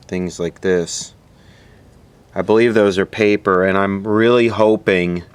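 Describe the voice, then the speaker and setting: A man narrating a casual, unscripted hands-on repair demonstration in a matter-of-fact, halting way, as host of his own video. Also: deep voice. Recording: clearly audible background noise.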